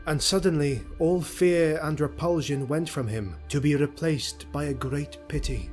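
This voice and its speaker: A man narrating a grim sword-and-sorcery audio drama as storyteller, in a measured, theatrical, solemn delivery. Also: mid-range voice, lightly acted.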